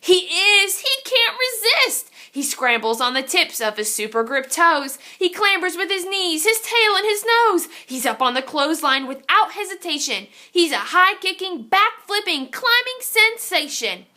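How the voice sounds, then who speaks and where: A woman's voice: extremely high pitch, big pitch swings, measured pace, hardly any pauses, pushed and bright. A woman reading a children's picture book aloud in a theatrical, suspenseful way, drawing out her exclamations. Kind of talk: animated children's story read-aloud.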